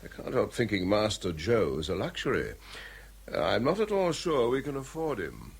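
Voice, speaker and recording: An actor playing a sceptical, grumbling office boss with a sardonic, querulous manner in a radio drama. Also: male voice, on the low side, sing-song, moderate pace, slightly rough, full character voice. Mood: sardonic, doubtful.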